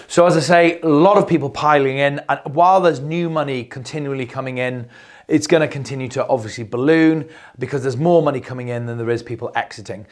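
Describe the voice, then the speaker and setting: A middle-aged man hosting a crypto video, talking off the cuff as he loses his voice, rambling and repeating words with many fillers. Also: medium pitch. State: losing his voice. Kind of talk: unscripted explainer monologue.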